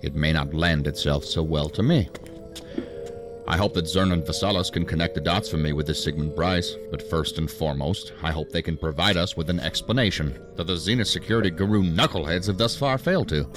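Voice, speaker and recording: An actor playing a stern, dry assistant director in a sci-fi audio drama, formal, measured and authoritative. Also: male voice, deep voice, over music, natural voice. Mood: stern, controlled. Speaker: senior law-enforcement official.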